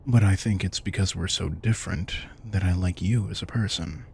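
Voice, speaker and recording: A man voices an ancient vampire king with a very low, creaky voice. He speaks like a wry, protective mentor in an intimate audio drama, reflective and casually frank. Faint background noise sits under the voice. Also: normal speed, a little mellow. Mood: fond, candid. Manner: casual, reflective, swearing, addresses listener directly. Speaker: audio roleplay performer. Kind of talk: intimate scripted audio roleplay, conversational monologue.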